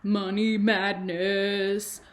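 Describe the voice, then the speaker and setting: A woman, a kindergarten teacher reading to children and adding chatty, animated explanations and questions in simple words. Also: medium pitch, drawn-out words, faint music behind. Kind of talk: children's read-aloud with chatty asides.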